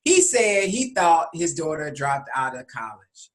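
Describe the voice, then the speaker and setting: A man's voice: fairly high pitch, very animated, unhurried, fairly bright tone. Man hesitantly reporting podcast news. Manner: reports items with hesitant fillers. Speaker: podcast host. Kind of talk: casual news chat.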